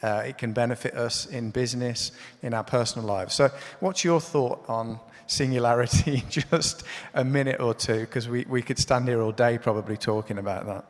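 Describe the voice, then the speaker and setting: A man moderating a conference panel, relaxed and explanatory as he steers the discussion. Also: mid-range voice, normal speed, few pauses. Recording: reverberant conference hall.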